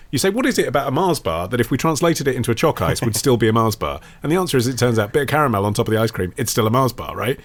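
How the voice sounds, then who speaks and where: A man with a mid-range voice chats as a podcast co-host. His delivery is casual and comedic, with wry sarcasm and rhetorical questions. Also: mid-range voice.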